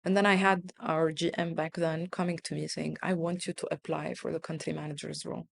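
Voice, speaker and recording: Low-voiced woman, earnest and thoughtful. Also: flat delivery, fairly quick, gravelly, slight background noise. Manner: earnest, repeats words while thinking. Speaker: guest, country manager. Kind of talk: conversational interview.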